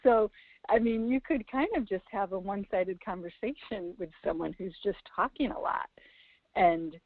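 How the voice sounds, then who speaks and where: A woman calling in with a reflective question, hesitant and halting as she thinks aloud over a phone line. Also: mid-range voice, no echo. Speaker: caller. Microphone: phone call. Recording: narrowband phone line sound.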